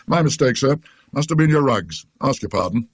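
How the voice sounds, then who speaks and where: A man's voice: mid-range voice, lively intonation, normal speed, husky, no room sound, clean studio sound. A British man voicing a plain-spoken, deferential ticket official in a ghost story, with colloquial turns of phrase. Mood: apologetic. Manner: deferential, colloquial, muttering asides.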